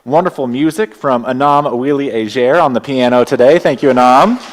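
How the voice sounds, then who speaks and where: A man with a Texas accent leading a church service, welcoming people and giving opening words in a gentle, unhurried way. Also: medium pitch, slight background noise. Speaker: worship leader. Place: church sanctuary.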